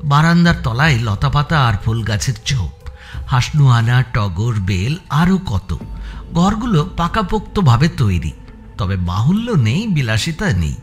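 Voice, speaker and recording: A man's voice: mid-range voice, sing-song, measured pace. A man narrating a scripted suspense audio story as its narrator. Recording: music bed behind the voice.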